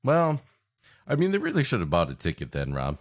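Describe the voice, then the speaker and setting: A man co-hosting a podcast with improvised comic banter in a halting, mock-earnest, repetitive way. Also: medium pitch, wildly swooping pitch. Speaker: podcast co-host.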